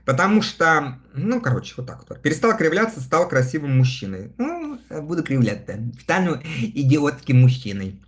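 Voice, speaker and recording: A man's voice: a little high, sing-song, normal speed. A man in his thirties chatting casually on a livestream, reading viewer comments aloud and answering them between laughs. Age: 30s. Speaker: live streamer reading viewer chat.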